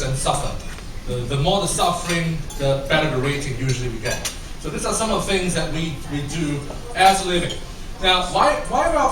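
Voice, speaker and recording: Singapore guy w/ mixed english-american accent, chatty trainer on stage, big echoey hall, noisy, mic far off. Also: fairly high pitch. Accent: mix of English and American. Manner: chatty, repeats and restarts, quizzes the audience. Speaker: corporate trainer giving a talk. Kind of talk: conference talk with audience questions. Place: large echoey hall with an audience.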